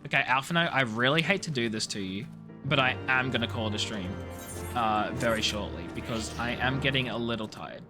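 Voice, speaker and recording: A man chatting casually as a live game streamer, in an animated, expressive way with emphatic, drawn-out words. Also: mid-range voice. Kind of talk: casual game stream chat, reading story dialogue and viewer messages aloud. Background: game music.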